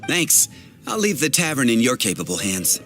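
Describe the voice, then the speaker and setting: A busy tavern keeper in an anime game, polite n chatty, normal male pitch, bit hesitant. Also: very animated, normal speed, a bit bright, faint music behind. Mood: grateful, brisk.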